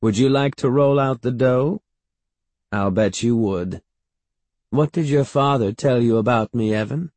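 Man playing a loud, leering old great-aunt. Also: measured pace, no room sound, lightly acted. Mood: teasing, menacing. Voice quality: deep-throated. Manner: bellowing, short probing questions.

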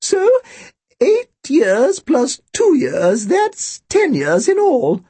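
Man putting on an elderly lady shopkeeper, very high, thin, trilling, eccentric, storybook character. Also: huge pitch swings, drawn-out words, warm tone, husky, no room sound, heavily acted. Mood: sweetly batty. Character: frail, eccentric elderly woman pet-shop owner.

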